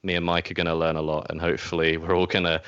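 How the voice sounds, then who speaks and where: Man, podcast host, casual chat, very flat intonation, clear smooth voice. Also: sits low, very fast, no echo, highs cut off.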